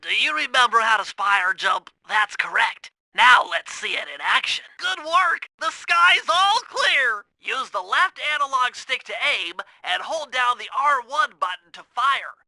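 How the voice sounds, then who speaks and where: Video game role: brainy young male turtle gadget expert. Extremely sharp, bright tone, fussy technical delivery, very dry close recording. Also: very high voice, expressive, a little slow, creaky, hardly any pauses, dry recording, full character voice, rough recording. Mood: excited, urgent. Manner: precise, wordy, technical instructing. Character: nerdy young male cartoon turtle, brainy, earnest gadget-minded strategist. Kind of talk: video game character voice acting.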